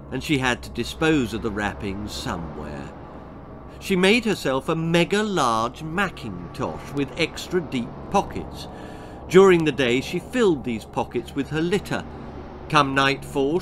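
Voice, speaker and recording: A man's voice: on the high side, background noise. A British man in his sixties reading a children's story aloud in a dramatic, theatrical manner. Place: small book-lined room indoors.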